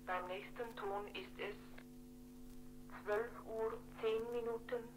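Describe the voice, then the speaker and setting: A woman playing an impersonal telephone time announcement, measured and monotone, through a telephone effect. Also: fairly high pitch, faint music behind, poor audio.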